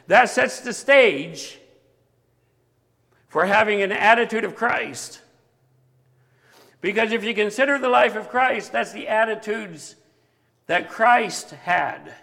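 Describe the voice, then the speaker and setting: A man preaching a sermon with a big voice that grows animated at times. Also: sits high, slow, vocal fry, pauses often, in a large hall. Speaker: pastor.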